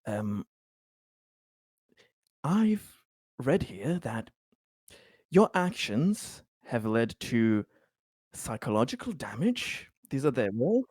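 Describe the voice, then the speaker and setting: A man playing a placating yet firm bureaucratic official in improvised tabletop roleplay, speaking haltingly and officiously with long pauses. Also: mid-range voice, big pitch swings, darkish tone, husky, dry recording, studio quality, exaggerated voice. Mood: officious, grave.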